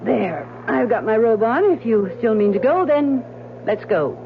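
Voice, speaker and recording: Sharp-eyed gentlewoman, knowing and persuasive, 1970s radio play, dull lo-fi sound, loud hiss. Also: fairly high pitch, animated, measured pace, creaky. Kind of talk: 1970s radio drama acting. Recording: muffled, hissy old radio broadcast.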